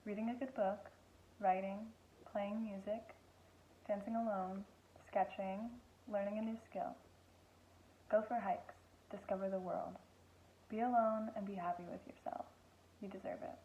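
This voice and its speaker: A woman's voice: mid-range voice, fairly even intonation, a little slow. A woman reading a prepared reflection as part of a worship service. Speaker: worship reader. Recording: dull lo-fi with faint noise.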